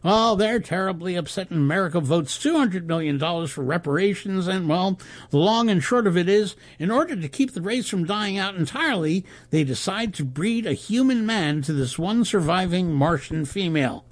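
Jovial reporter in an audiobook , acted by a male narrator: halting raconteur, raised lively pitch, slightly husky. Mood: amused, rambling. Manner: halting, rambling raconteur with asides. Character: jovial wire-service reporter spinning a barroom joke.

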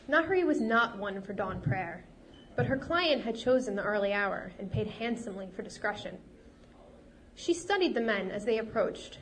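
A woman's voice: a little high, a little hiss or hum. Woman reading her novel, recovering from a cold. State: getting over a cold. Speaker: fantasy author. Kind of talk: reading her novel aloud at a live event.

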